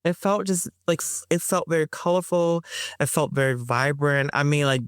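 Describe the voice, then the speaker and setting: Slow, measured woman poet guest. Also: extremely low pitch, clean tone, no echo, clean studio sound. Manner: slow, measured, pausing to find words. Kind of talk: reflective interview talk.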